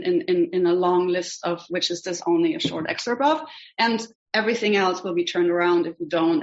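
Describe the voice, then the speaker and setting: A woman from Germany with a German accent, a rabbinical student teaching an online class, hesitant and full of ums. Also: fairly low pitch. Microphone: video call. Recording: dull lo-fi video call audio.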